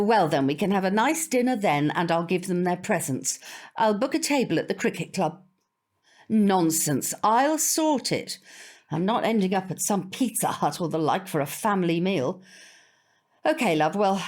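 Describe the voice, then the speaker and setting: Casting: older British grandmother, fussy, proud and stubbornly independent, very animated intonation, audio drama. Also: medium pitch. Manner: fussy, complaining, brisk.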